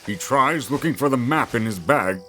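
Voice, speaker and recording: A man's voice: mid-range voice, lively intonation, normal speed, fairly bright tone, soft background music. A man reading scripted fantasy story narration as the narrator of an audio drama.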